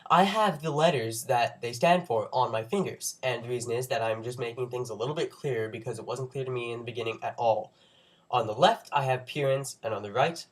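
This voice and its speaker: A young man with a cold and a congested voice, giving an informal science explainer with wry, nerdy, self-joking asides. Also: mid-range voice. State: under the weather with a cold. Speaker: presenter of an educational video.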